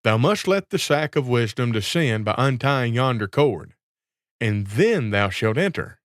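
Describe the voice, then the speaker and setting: A man's voice: medium pitch, very animated, measured pace, no room sound, clean studio sound. A man voicing a crafty fairy tale gardener who poses as a grand sage, proclaiming in archaic, grandiose speech. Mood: condescending.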